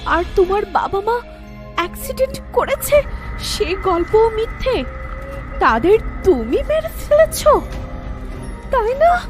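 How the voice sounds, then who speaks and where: A woman voicing a very high-voiced young wife narrating. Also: sing-song, music in the background, heavily acted. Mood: frightened. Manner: slow, tense storytelling. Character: young wife telling her own horror story, terrified. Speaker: first-person narrator. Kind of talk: animated horror story narration.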